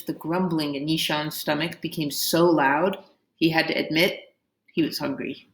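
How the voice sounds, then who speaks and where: Female read-aloud host, slightly low voice, expressive and dramatic narration of children's fiction, roomy sound. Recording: roomy, echoing indoor sound.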